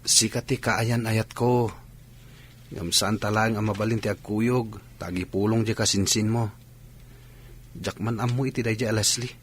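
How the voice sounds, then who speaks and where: A man's voice: on the low side, steady tone, moderate pace, a little hiss or hum, lightly acted. A man playing an affectionate, sincere young student sweetheart with an Ilocano accent in a Philippine radio drama. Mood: tender.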